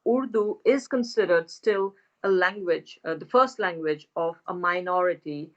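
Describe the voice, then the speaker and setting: A woman who is a linguist, speaking as a podcast guest in a hesitant way with many pauses. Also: on the low side, highs cut off. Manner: hesitant, many fillers and restarts. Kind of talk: academic conversation.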